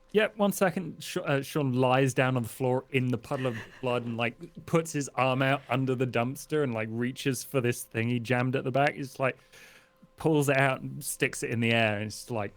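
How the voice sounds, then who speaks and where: A man's voice: medium pitch, steady tone, moderate pace. A man playing a tabletop roleplaying game, casually acting out and describing what his character does.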